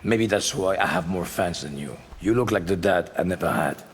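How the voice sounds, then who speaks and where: A man voicing a brash, trash-talking action star in a video game, with a husky voice. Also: deep voice, normal speed, big-room sound, slight background noise. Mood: cocky.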